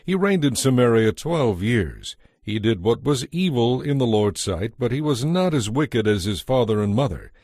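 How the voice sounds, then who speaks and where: A male narrator reads an audio Bible with measured, scripted delivery. His voice is mid-pitched, recorded dry and close. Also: sing-song, darkish tone, dry recording.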